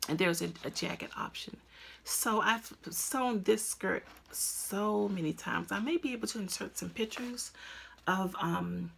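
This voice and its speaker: A woman's voice: mid-range voice, unhurried, vocal fry, fairly bright tone, slight background noise. A woman in her fifties hosting a crafting podcast, chatting casually at home with lots of ums and restarts. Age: 50s. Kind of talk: casual chat to camera. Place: at home, indoors.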